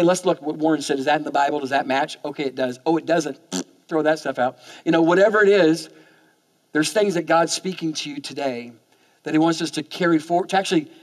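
A man in his forties preaching a sermon on a church stage, in an animated, conversational way that often repeats words as he starts a sentence. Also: on the high side. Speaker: pastor. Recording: long hall reverb.

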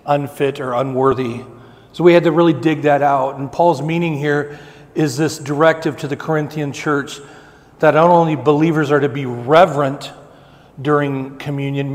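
Man, pastor, sermon, slow repeated emphasis, fairly high voice, long church reverb. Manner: preaching with swelling emphasis and slow repeated phrases. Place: church sanctuary, indoors.

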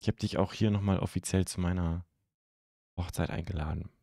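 A young man from Germany hosting a live stream, chatting casually and haltingly as he answers viewer comments into a close microphone. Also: sits low, steady tone, vocal fry, mellow, no echo. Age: young adult. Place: indoor streaming room at home. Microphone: close microphone on a stand.

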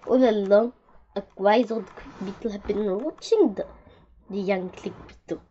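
Female storybook reader: deliberate, slow, very expressive delivery for young children, slightly lo-fi sound. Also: mid-range voice, slight background noise. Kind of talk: children's picture-book read-aloud.

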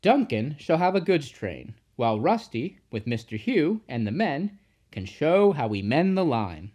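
A storybook narrator voicing a grave, authoritative male railway controller with measured speech. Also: medium pitch, very animated, darkish tone, husky. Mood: brisk, kindly. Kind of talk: children's storybook character voice.

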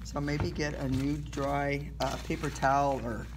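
A woman's voice: low voice, little pitch movement, a little slow, noisy background. A woman teacher casually talking students through an art project while she works, self-deprecating and full of little okays and ums. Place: indoors at a table, recording her hands from above.

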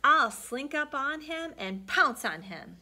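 Cat: sly, sneaky storybook cat, bright and high, played by a woman. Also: big pitch swings, takes it slowly, exaggerated voice. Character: sly confident female cat.

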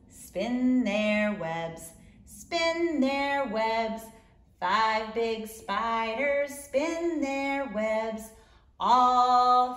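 A middle-aged woman with a fairly high voice reads a picture book to young children in a sing-song lilt. She goes very slowly, stretching her phrases deliberately. The room around her adds a clear echo. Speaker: early learning teacher reading aloud. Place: indoor room in front of a display board. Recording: roomy, echoing indoor sound.

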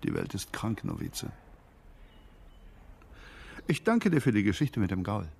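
An actor playing a cynical, smug newspaperman with a gravelly, husky voice and a theatrical, mocking delivery in a radio play. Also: lowish voice, wildly swooping pitch, a little slow, darkish tone, a little hiss or hum, full character voice. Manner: sardonic, theatrical.

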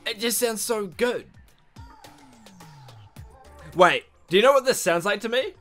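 A young man with a husky morning voice, hosting an excited, slangy music reaction vlog from a small bedroom on a studio mic. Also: high-pitched, fairly quick. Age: young adult. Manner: excitable, animated, exclamatory, slangy. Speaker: reaction channel host. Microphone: studio mic in front, headphones on.

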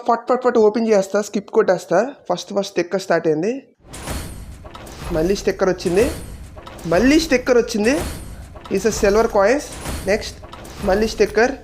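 A woman's voice: mid-range voice, darkish tone. A woman hosting a gaming video with casual commentary to viewers.

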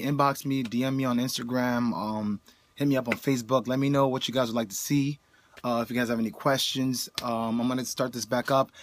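A young man talking casually to camera in a small room, a fitness vlogger with a relaxed, slangy, rambling way of talking. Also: medium pitch, no room sound. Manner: laid-back, rambling, slangy. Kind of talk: casual vlog talk to camera.

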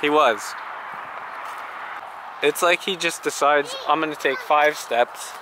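A man's voice: on the high side, takes it slowly. A man, a father on a family day trip, teasing his family with coy answers, first in a car and then outdoors. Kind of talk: casual family chat. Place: inside a car, then outdoors at a roadside attraction. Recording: noisy handheld camera audio.

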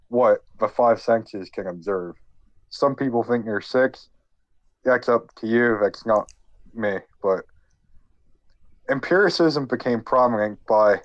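A man podcast co-host who is sick, explaining history informally in a halting, jokey way. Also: medium pitch, dry recording, highs cut off. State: sick with a cold.

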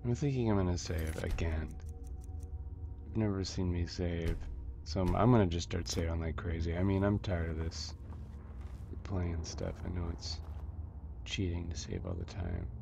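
A man giving casual commentary on his own gameplay, muttering and thinking aloud with halting delivery and mild folksy exclamations. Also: low-pitched, fairly even intonation, creaky, dark tone, slight background noise. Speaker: gamer narrating own playthrough. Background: video game music.

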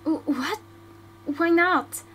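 A woman's voice: very high-pitched, takes it slowly, a bit bright, a little hiss or hum, full character voice. A woman voicing a frightened young female bandit who stammers and pleads. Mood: confused.

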